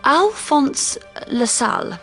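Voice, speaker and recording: A woman's voice: sits high, very animated, slow pace, pushed and bright, faint music behind, heavily acted, poor audio. A woman playing a chatty, rambling, slightly scatterbrained landlady in a radio drama. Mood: chatty, helpful. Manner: chatty, rambling, self-correcting.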